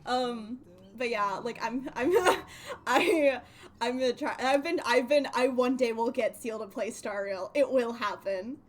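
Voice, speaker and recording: A woman's voice: on the high side, unhurried. A woman casually chatting as a livestream host, rambling playfully and often breaking off mid-thought. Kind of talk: casual gaming stream chat.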